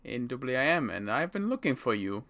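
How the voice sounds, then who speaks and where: An inquisitive male survivor , played by a man, in a zombie game roleplay. His bright voice moves around animatedly at a middle pitch. He is wary, wordy and a little theatrical. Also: very animated, quick pace, vocal fry. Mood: matter-of-fact. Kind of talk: improvised roleplay dialogue.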